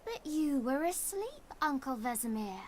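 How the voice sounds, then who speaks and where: A woman's voice: high-pitched, sing-song, measured pace. An actress playing a cheeky, mischievous young girl training as a fighter in a video game. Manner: cheeky, reciting. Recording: game audio with background ambience.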